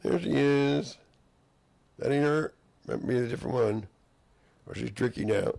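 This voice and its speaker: A hoarse man in a rambling monologue, drifting between half-finished sentences and mock-courtroom phrasing. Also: medium pitch, a little slow, a little mellow, no echo.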